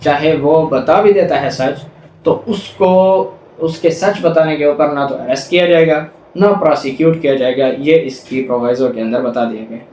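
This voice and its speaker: A man's voice: on the high side, fairly even intonation, normal speed, a little mellow. A roomy, slightly distant recording with faint noise carries a man with a Pakistani accent. He lectures on law in a didactic manner, going back over each point in other words. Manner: didactic, repeats and rephrases points. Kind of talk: explanatory law lecture, reading statutes aloud then explaining.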